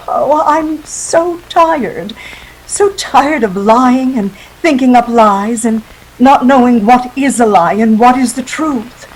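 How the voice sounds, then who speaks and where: A woman's voice: on the high side, slow, slight background noise, rough recording. A woman playing a scheming, seductive femme fatale in a 1940s-style radio drama, with melodramatic pleading and world-weary guile.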